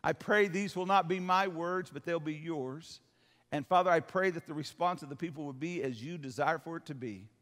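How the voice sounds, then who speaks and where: Older guy preaching in church, high voice, folksy and emphatic, big echoey hall. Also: normal speed, fairly bright tone. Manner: folksy, emphatic, seeks amens from listeners. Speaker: pastor. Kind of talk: sermon to a congregation. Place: church hall, speaking from the stage. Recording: long hall reverb.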